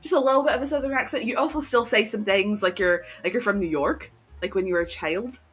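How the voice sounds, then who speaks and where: A woman's voice: mid-range voice, fast, fairly bright tone, slightly rough, soft background music. A woman co-hosting a casual live chat from a cool room at home, rambling along with lots of ums and restarts. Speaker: livestream co-host. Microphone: home desk microphone.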